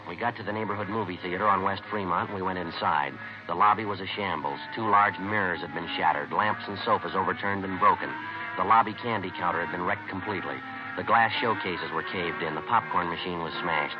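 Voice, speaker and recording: An actor playing a terse, matter-of-fact American police detective sergeant in a 1950s radio crime drama, clipped and deadpan. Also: male voice, deep voice, close to an everyday voice. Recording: muffled old radio recording, music behind.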